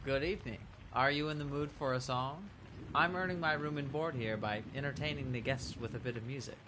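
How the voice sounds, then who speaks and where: A man's voice: mid-range voice, fairly quick, loud music behind the voice, lightly acted. A man voicing a courteous, lovelorn musician in a video game, with a plaintive way of talking. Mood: hopeful, inviting. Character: lovelorn, polite male inn musician. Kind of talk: video game character dialogue.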